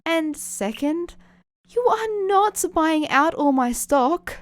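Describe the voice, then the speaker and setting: A woman voicing a feisty, easily flustered young woman, animated and affectionately scolding, in an audio fan fiction. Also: high voice, slow, no echo, pitched above the performer's own voice. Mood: teasing.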